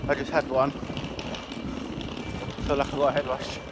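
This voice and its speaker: A man's voice: completely flat delivery, normal speed. A young man, chatting casually outdoors into a handheld phone. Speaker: holiday vlogger. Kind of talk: casual chat. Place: outdoors under a concrete canopy outside an airport. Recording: noisy outdoor phone recording, traffic rumble.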